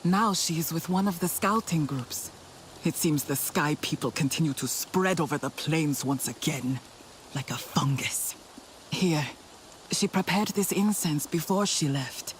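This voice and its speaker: Guy as a formal earnest hunter from a tribe in a game, highish voice, some noise. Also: a little slow, lightly acted. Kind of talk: video game voice acting.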